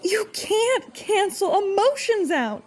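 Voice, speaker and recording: A woman plays a stubborn, theatrical pregnant wife. The voice is extremely high and unhurried, insistent in the manner of radio comedy. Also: sing-song, heavily acted. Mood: defiant. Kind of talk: comedic radio play acting.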